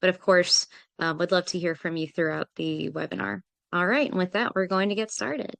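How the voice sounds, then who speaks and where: A woman hosts a business webinar in a smooth, clear voice pitched a little low. The sound is dry and close, and she walks through her points in a friendly, orderly way with small fillers. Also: steady tone, normal speed, a bit bright, no room sound. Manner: friendly and organized, with frequent "um" fillers. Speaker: webinar host, project manager.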